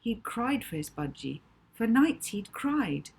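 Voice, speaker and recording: A woman author reading her children's novel aloud with dramatic, deliberate emphasis. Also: a little high, sing-song, vocal fry, darkish tone, breathy edge. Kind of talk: expressive children's audiobook narration.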